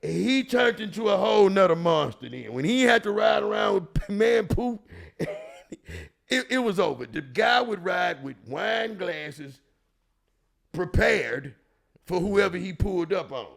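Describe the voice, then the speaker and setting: A man with a heavy gravel and fry in his voice speaks in a Houston, Texas accent. He tells stories casually, in slangy, profane, emphatic street talk that circles back on phrases. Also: high voice, big pitch swings, unhurried, a bit bright. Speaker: storyteller and show regular.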